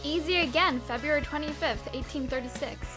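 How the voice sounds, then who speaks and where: A woman's voice: high-pitched, brisk, over music, close to an everyday voice. A woman playing a tough, precocious, foul-mouthed young vigilante girl in a comic dub, blunt and cocky. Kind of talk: comic book dub acting.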